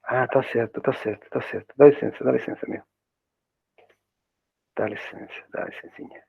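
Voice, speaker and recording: A man's voice: medium pitch, steady tone, moderate pace, creaky, breathy edge, dry recording. A man whose first language is Spanish, lecturing in Portuguese with a part Brazilian accent in an informal way, often adding tag questions and restarting words, heard over a video call. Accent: Spanish-accented Portuguese, partly Brazilian. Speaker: guest lecturer. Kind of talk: academic web conference talk. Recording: dry tinny video call audio.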